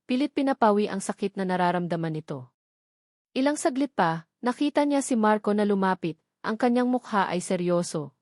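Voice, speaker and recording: Female story narrator, medium pitch, scripted romantic drama, very little room sound. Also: darkish tone, dry recording, studio quality.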